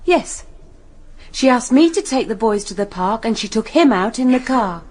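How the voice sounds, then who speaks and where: Actress as lady's companion, deferential, uneasy, fairly high voice, period radio drama, faint background noise. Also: animated, normal speed, darkish tone, natural voice.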